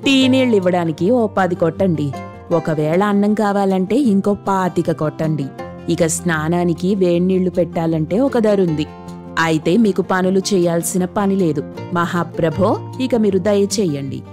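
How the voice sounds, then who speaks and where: Low mellow female voice, actress in role, middle-aged mother-in-law, greedy, bossy, scolding, cartoon moral tale, music behind. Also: moderate pace, lightly acted. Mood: haughty, demanding. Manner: scolding, demanding, sharp-tongued. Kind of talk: dramatic Telugu moral story cartoon dialogue.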